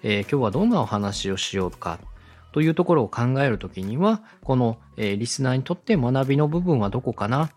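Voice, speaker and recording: Guy podcast host teaching stuff, polite n chatty, very animated pitch, bit of music behind. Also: a little fast. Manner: polite, conversational, addresses the listener directly. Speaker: podcast host and radio director giving advice. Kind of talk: explanatory podcast teaching with acted example questions. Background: background music, faint.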